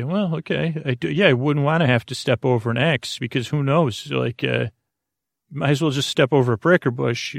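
Guy with a gravelly creaky voice, meandering bedtime podcast talk, really dry close sound. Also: medium pitch, dry recording. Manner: meandering, halting, repeats and circles back on words. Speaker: podcast host. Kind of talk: rambling, improvised bedtime podcast monologue.

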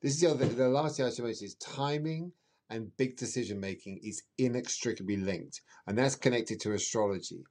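A man's voice: medium pitch, a little fast, a little mellow. A man speaking as an astrologer guest in an interview, explaining emphatically in a rambling way and repeating words for emphasis.

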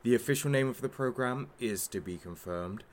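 A man's voice: mid-range voice, normal speed, natural voice. Man playing a flamboyant, menacing showman villain. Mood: smug, theatrical. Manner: theatrical, mock-polite, smug. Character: flamboyant, menacing showman hostage taker hosting his broadcast. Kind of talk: showman's presenting in an audio drama.

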